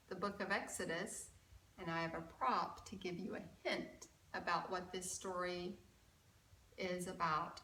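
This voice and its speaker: A woman storyteller reading a picture book aloud to children, expressive and deliberate, often putting questions to her listeners. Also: lowish voice, a little hiss or hum. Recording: roomy echo, distant mic.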